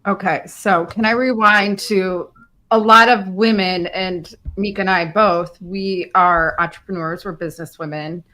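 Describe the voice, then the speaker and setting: A woman's voice: medium pitch, fairly even intonation, unhurried, pushed and bright. A woman hosting a conversational interview, putting questions to a guest. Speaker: podcast host, interviewer.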